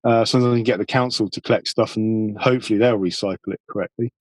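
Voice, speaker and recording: A man talking casually as an interview guest, explaining things in a matter-of-fact, measured way. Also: fairly low pitch, steady tone, dry recording, rough recording. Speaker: podcast guest, environmental campaigner.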